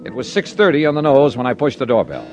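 An American man playing a wry, hard-boiled insurance investigator in a 1950s radio detective drama, brisk in manner. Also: mid-range voice, big pitch swings. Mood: wry narrating. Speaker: lead actor and narrator of a radio detective series. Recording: vintage radio recording with music.

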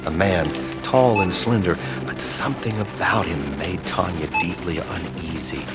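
A man narrating a scripted horror audio drama in a measured, grave storytelling manner. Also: sits low. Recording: loud music bed behind the voice.

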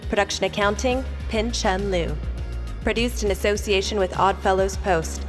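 A woman reading scripted credits as an announcer, in a measured, neutral manner. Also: mid-range voice, over music.

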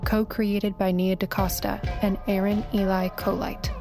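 A woman's voice: mid-range voice, little pitch movement, a little slow. A woman announcer giving a scripted reading of closing credits. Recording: music under the voice.

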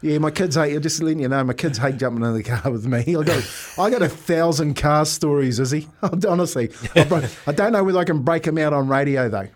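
Middle aged kiwi guy on radio, highish voice, chatty jokey storyteller, chuckles as he goes. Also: brisk, slight background noise. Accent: New Zealand. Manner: animated, jokey storyteller who often chuckles while talking. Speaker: radio show host. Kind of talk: casual radio banter, storytelling.

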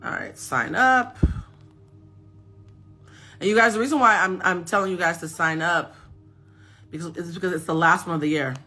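A woman's voice: fairly low pitch, moderate pace, pauses often, sharp tone, soft background music. A woman in her forties hosting a casual live stream, talking emphatically to viewers and reading out their comments. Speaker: host of a live stream and self-mastery coach. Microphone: phone.

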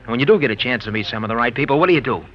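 A brash, smooth-talking American gambler played by a male actor from a 1940s radio drama. He cajoles and needles in slangy phrases, his pitch jumping around freely. The sound is muffled, lo-fi vintage radio. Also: animated, very fast, natural voice. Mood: mocking needling. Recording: dull, lo-fi old radio recording.

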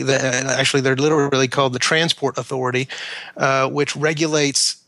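Man, bright crisp-edged tone, dry recording, casual interview guest, halting explanatory delivery. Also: medium pitch, no echo. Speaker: author, podcast guest.

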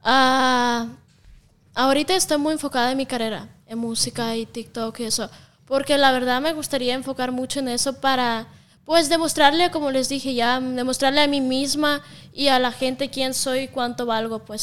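Young russian woman guest, native russian, high clear voice, chatty, frank, lots of fillers. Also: steady tone, moderate pace, a bit bright. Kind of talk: casual interview chat.

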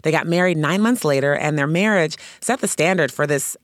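A woman hosting an entertainment news show, with brisk, conversational presenting. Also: low voice, no room sound, studio quality.

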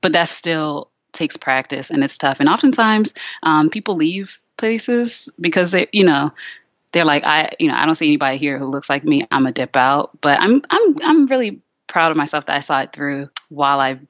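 A young woman with a low voice talks openly as a guest on an interview podcast, with lots of "like" and restarts. Her voice is dry and close, and the sound is a little dull and lo-fi. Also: expressive, moderate pace, no echo. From Richmond, Virginia, USA. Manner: chatty and candid, heavy use of "like" and restarts. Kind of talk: casual interview conversation.

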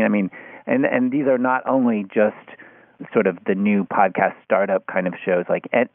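A man's voice: on the low side, vocal fry, no room sound. A man in a casual, analytical discussion, speaking in hesitant, circling, heavily hedged phrases. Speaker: podcast discussion participant. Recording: muffled phone-like line.

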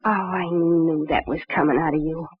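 A woman's voice: low voice, fairly even intonation, normal speed, husky, no echo. Phone-like vintage radio sound, sardonic jilted showgirl, brassy, defiant, slangy wisecracks, crime drama. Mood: accusing, sarcastic. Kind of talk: old-time radio crime drama acting.

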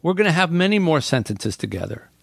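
A man's voice: on the high side, big pitch swings, quick pace, vocal fry, slightly rough, no room sound, studio quality. A middle-aged man, a podcast guest, talking in an earnest, thoughtful way with frequent "you know" fillers. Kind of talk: reflective casual conversation.

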